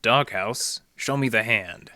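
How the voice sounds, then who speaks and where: An actor playing a wry, deadpan library detective who narrates his case in hardboiled old radio style. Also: mid-range voice, slow pace, dry recording, studio quality. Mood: mock-sad, needling. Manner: deadpan, sardonic, slangy.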